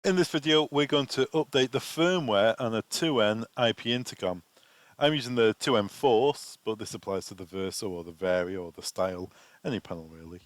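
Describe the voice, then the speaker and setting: A man's voice: fairly low pitch, a little fast, dry recording. Man giving a technical tutorial. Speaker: technical trainer, presenter. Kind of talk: software tutorial walkthrough.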